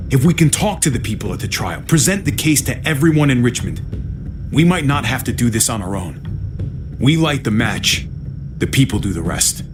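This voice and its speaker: An actor playing a steady, reassuring male survivor leader in a video game, earnest and calming. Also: mid-range voice, noisy background, lightly acted.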